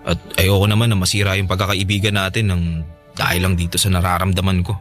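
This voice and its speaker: A man's voice: low-pitched, flat delivery, fast, fairly bright tone, faint music behind. A man playing a sulky, lovelorn young man in a radio drama, earnest and with a Filipino accent. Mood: earnest confession.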